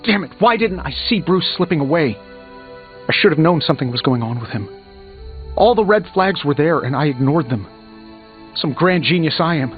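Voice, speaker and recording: An actor playing a proud, guilt-ridden genius superhero in a video game, sarcastic, emphatic and hard on himself. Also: male voice, fairly high pitch, animated, normal speed, lightly acted. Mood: frustrated, self-reproaching. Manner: sarcastic, self-reproaching, emphatic. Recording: muffled game audio under music.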